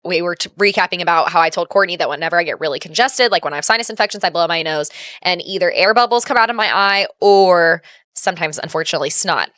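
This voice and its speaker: A woman's voice: fairly low pitch, fast talker, a bit bright, no room sound, clean studio sound. Congested, nasal woman chatting. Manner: chatty, rambling with many fillers. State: congested from a sinus infection. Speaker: podcast co-host. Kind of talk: casual chat. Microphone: desk mic on a mic arm.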